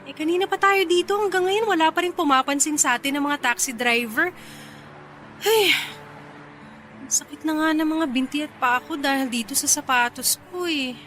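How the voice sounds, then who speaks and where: A woman playing a proud, easily irritated but shy female office boss in a Tagalog radio drama, with an exasperated, expressive delivery. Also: high-pitched, normal speed, faint music behind. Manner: exasperated, expressive, mixes in English phrases.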